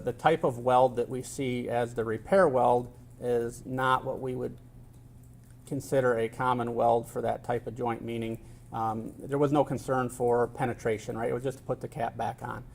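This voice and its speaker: Middle-aged man, gravelly low voice, faint background noise, courtroom expert witness, halting technical answers, formally polite. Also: a little mellow. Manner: measured, technical answers with many hesitations. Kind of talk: courtroom testimony.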